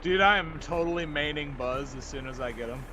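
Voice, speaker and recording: A man in his thirties, a voice actor guest chatting casually while gaming in a gushing, excitable way. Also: on the high side, fairly quick. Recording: music playing behind the voice. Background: music.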